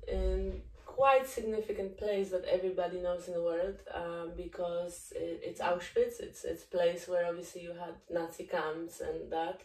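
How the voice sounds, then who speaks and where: A woman's voice: fairly low pitch, normal speed, few pauses. A woman in her thirties from Poland, a native Polish speaker with a Polish accent, telling her life story openly as a documentary maker being interviewed. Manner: open, candid, animated in places. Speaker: guest, documentary filmmaker. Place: living room, indoors. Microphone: far camera. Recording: echoey room, far from mic.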